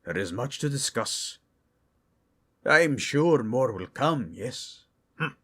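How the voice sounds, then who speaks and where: A man voicing a dignified male dwarf high priest in a fan audio drama, speaking in formal, old-fashioned phrasing. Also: expressive, slow, a bit bright, husky, heavily acted. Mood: stately.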